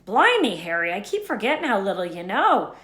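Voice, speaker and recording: A gruff, protective storybook man, acted out by a woman reader, speaks bluntly and with folksy emphasis. The pitch is pushed up and loud for the reader, and the room rings with echo. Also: very animated, brisk, faint music behind. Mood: indignant. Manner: emphatic, folksy, dropped words. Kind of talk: children's storybook character voice. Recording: echoey room.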